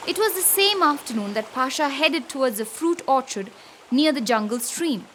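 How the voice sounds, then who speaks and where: A woman narrating a children's bedtime story in an expressive, scripted style. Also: sits high, normal speed, a little hiss or hum. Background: background music.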